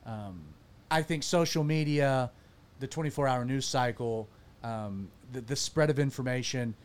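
A man who pastors and hosts a talk show, chatting casually with a panel, animated and self-deprecating, often restarting his sentences. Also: mid-range voice, measured pace. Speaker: pastor and podcast host.

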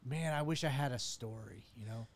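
A man's voice: medium pitch, creaky. A man hosting a casual chat, teasing his guests and affirming them as he asks questions. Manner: teasing, affirming, quick to laugh. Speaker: podcast host.

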